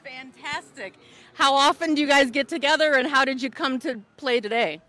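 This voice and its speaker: A woman's voice: high voice, moderate pace, pushed and bright. A woman reporting on site at a festival, upbeat, passing the host's questions on to the guests. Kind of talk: live festival interview.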